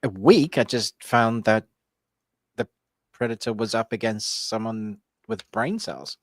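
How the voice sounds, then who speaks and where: Lively, low-voiced joking man. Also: slow, no echo. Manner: joking, playful banter. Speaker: podcast co-host. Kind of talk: casual live-stream chat.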